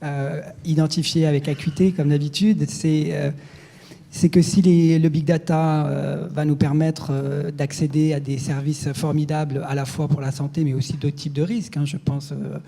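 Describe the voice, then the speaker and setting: A man's voice: fairly high pitch, level tone, normal speed, vocal fry, dark tone. A man asking a long-winded question from the floor at a conference, hesitant and full of fillers. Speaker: audience questioner. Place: conference amphitheater.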